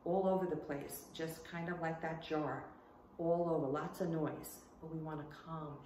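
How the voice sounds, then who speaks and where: A woman's voice: low-pitched, fairly even intonation, moderate pace, a little mellow, recorded from a distance, a little hiss or hum. A woman teaching a home lesson to children, who speaks Portuguese as her first language and keeps checking in with her students by asking "right?". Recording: echoey room, voice from off the mic, faint ambience.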